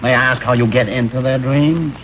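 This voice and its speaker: A man's voice: mid-range voice, fairly even intonation, fairly quick, fairly bright tone, lightly acted. A determined male police detective acted by a man in a vintage TV crime drama. He is blunt and wisecracking, with clipped delivery. The soundtrack is dull and hissy with age. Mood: probing, sardonic. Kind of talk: 1950s television crime drama acting.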